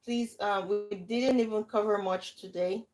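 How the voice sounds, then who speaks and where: A Nigerian woman hosts a casual livestream and talks through her advice with lively emphasis. She often repeats a phrase before she moves on. Her voice sits at an ordinary middle pitch for a woman. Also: measured pace, faint music behind. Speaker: livestream host and coach.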